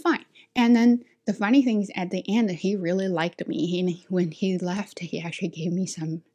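A woman's voice: fairly low pitch, steady tone, moderate pace, warm tone. Woman with a Chinese accent telling stories. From China. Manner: frequent restarts and filler words, talking through experiences. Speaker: English teacher. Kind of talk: casual personal storytelling.

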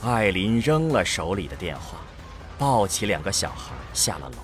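A man's voice: deep voice, big pitch swings, normal speed, faint music behind. A man narrating an audio drama from a script in a grave, dramatic manner.

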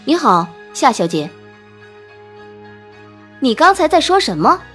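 Woman acting a cool, quietly mocking young woman. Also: high voice, takes it slowly, husky, faint music behind, full character voice. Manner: calm, dismissive, softly sarcastic.

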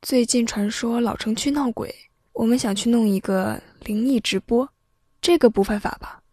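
An eager, curious young student, played by a woman in an audio drama. The voice sits fairly high and is recorded very dry, with no room around it. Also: moderate pace, a little mellow, husky, no echo. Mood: eager, mysterious.

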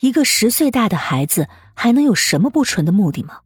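An anxious, soft-hearted mother in an audiobook, voiced by a woman. She questions with emotional urgency in a lively, swooping, slightly husky voice, recorded dry with faint music behind. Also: on the high side, brisk, dry recording, full character voice. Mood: puzzled, protesting. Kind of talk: audiobook character acting.

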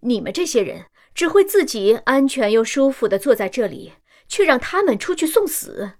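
A defiant, indignant operator, animated delivery. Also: female voice, sits high, moderate pace. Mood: accusing. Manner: accusing, sharp-tongued. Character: principled, defiant female machine operator, indignant and sardonic. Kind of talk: Chinese science-fiction radio drama acting.

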